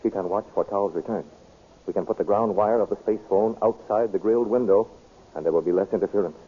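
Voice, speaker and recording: An actor playing a brave young space hero in a 1930s radio serial, firm and commanding. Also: male voice, on the low side, fast, husky. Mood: urgent. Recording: tinny, lo-fi 1930s radio transcription.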